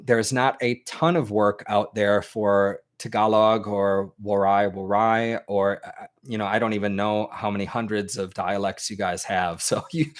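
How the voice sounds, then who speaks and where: Man, American, lowish pitch, casual livestream advice, filler-heavy. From United States. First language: English. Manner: informal, lots of ums and restarts, friendly. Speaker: host. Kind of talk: casual advice monologue to a live chat.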